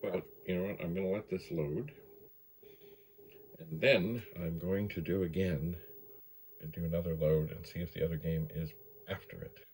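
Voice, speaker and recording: A man's voice: deep voice, fairly even intonation, moderate pace, long pauses, low-quality recording. A man hosting a hands-on hobby video in casual, unscripted chat, rambling and musing to himself as he goes. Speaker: host of a vintage computer video series. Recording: background hum and noise. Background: gentle tape machine hum, clicking.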